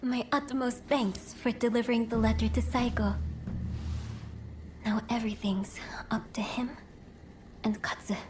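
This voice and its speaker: An actress playing a dignified, gentle but resolute princess in a video game, with a soft, breathy, formal delivery. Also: female voice, a little high, steady tone, measured pace, pauses often, a little hiss or hum, natural voice. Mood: anxious hope. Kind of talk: dramatic video game cutscene acting.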